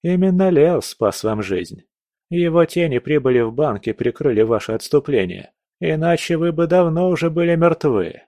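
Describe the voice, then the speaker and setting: A man voicing a cool, smug young man who speaks calmly with quiet mockery. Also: medium pitch, moderate pace, no room sound, studio quality, close to an everyday voice. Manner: calm, mocking.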